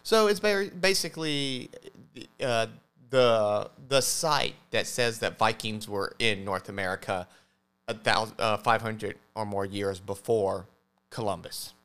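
A man co-hosting a podcast, explaining things casually in a halting way, often stammering and correcting himself. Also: on the low side, a bit bright, clear, resonant tone. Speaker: podcast host.

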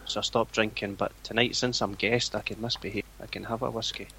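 A Scottish man chatting casually on a podcast as the guest, with a flat, even way of talking that he calls boring. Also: fairly low pitch, fairly quick, creaky, a little hiss or hum. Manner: flat, self-described boring voice. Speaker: forum owner, guest. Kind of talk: casual chat.

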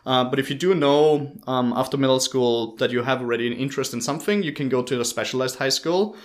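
A man's voice: mid-range voice, quick pace. A man in his thirties from Austria, a native German speaker with an Austrian accent and an Irish-tinged R, chatting informally as an interview guest with dry humour. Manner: informal, rambling, dryly humorous. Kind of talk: casual chat, telling life story.

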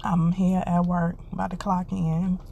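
A woman's voice: on the low side, little pitch movement, measured pace, vocal fry, dark tone, slight background noise. A woman hosting a podcast, giving an earnest, encouraging casual monologue and repeating her phrases, congested and stuffy. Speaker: podcast host.

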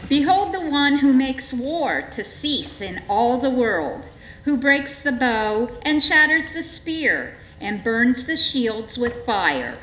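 A woman gives a formal scripture reading in a measured, solemn manner at a church service. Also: sits high, very animated, a bit bright. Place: indoors in a church. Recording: echoey church, dull sound, faint noise.

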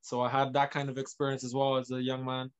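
A man's voice: medium pitch, monotone, fast talker, clean tone, dull audio. A man in his thirties who grew up in England and Northern Ireland, speaking as a guest in a casual interview. He talks loosely, with plenty of "like" and "you know.". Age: 30s. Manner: loose, filler-heavy, lots of "like" and "you know". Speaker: guest, MMA fighter.

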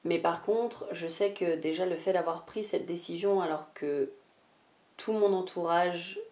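Mellow-voiced woman hosting a podcast. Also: lowish voice, a little slow. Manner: hesitant, many "euh" fillers and restarts. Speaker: podcast host, life mentor. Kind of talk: unscripted solo podcast. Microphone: podcast microphone.

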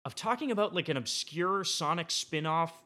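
A man is a guest on a casual podcast. His voice sits a little high and is bright, swinging freely up and down as he talks. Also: animated, moderate pace.

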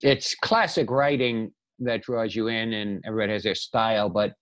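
A man's voice: lowish voice, moderate pace, a bit bright, no room sound. A man co-hosting a podcast, chatting casually and conversationally. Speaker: podcast co-host.

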